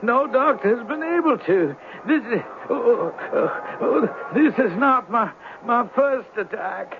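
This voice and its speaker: Very high-voiced swindler's sidekick. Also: male voice, expressive, measured pace, full character voice. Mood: feigned agony. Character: reluctant, plain-spoken male sidekick of a swindler. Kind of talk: 1970s radio drama acting. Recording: tinny 1970s radio recording, faint noise.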